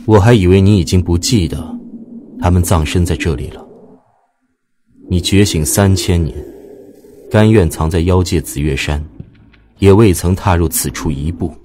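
A man acting a low-voiced, haughty god. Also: normal speed, long pauses, noisy background, close to an everyday voice. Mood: cold, taunting. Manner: stern, haughty delivery. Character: cold, aloof, commanding male god. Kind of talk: dramatic audio drama acting.

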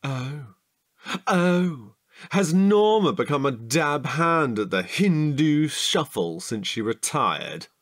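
A man's voice: fairly high pitch, big pitch swings, slow pace, a bit bright, heavily acted. An actor playing a playful, easily bored, childishly eager man in an audio drama, indignant and teasing.

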